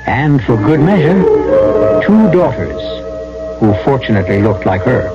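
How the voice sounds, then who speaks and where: Rugged radio adventurer, dull vintage sound. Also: male voice, medium pitch, big pitch swings, a little slow, loud music behind the voice. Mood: storytelling, dramatic. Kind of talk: old-time radio drama acting and first-person narration.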